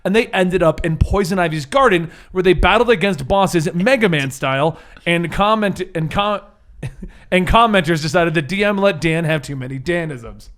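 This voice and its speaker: A man's voice: high voice, moderate pace, fairly bright tone. A man working as game master, hosting a tabletop superhero game, explaining rules and narrating scenes.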